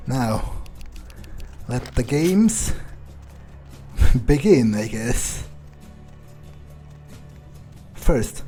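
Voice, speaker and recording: A man's voice: medium pitch, very animated, extremely slow, pauses often, dark tone, soft background music. A man improvising in character as a cocky, callous vampire while playing a video game, drawling and scornful. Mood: smug, casual. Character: cocky, callous male vampire roleplayed by a gamer. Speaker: gamer roleplaying in a playthrough.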